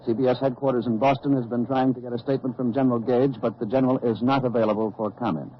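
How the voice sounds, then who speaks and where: An actor playing a composed male news anchor in a 1940s radio drama, giving a formal, measured bulletin. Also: medium pitch, steady tone, close to an everyday voice. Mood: composed, businesslike. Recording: dull old radio recording, faint noise.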